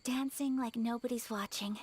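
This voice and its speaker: A young woman playing a gentle, sincere noblewoman in an anime fantasy game, speaking softly and in a measured, heartfelt way. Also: fairly high pitch, fairly even intonation, a little hiss or hum, natural voice. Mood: soft, fond. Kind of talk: scripted video game character dialogue.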